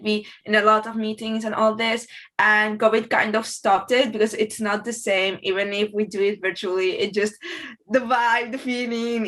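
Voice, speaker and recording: A woman's voice: mid-range voice, a bit bright. A teenage girl from Morocco speaking earnestly on a video call panel. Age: teens. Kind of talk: casual chat.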